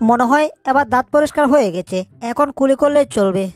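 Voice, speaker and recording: A high cartoon voice for a small, clever male mouse performed by a woman. He frets aloud and exclaims anxiously as he schemes, with faint music underneath. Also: fast talker, a little mellow, close to an everyday voice. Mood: fussy, coaxing. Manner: exclamatory, fretting to himself. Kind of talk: Bengali cartoon dub acting.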